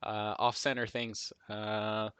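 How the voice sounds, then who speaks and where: A man's voice: fairly low pitch, flat delivery, slow, fairly bright tone, clean tone, dry recording. A man hosting a live coding stream, chatting casually and answering viewer comments in a friendly, rambling way. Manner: chatty, friendly, with fillers and self-repeats.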